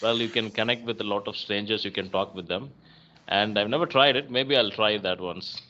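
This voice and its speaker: A man with a Tamil accent hosting an English practice chat, speaking clearly in a friendly, encouraging way. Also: fairly low pitch, fairly quick, highs cut off, background noise. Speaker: host, English conversation partner.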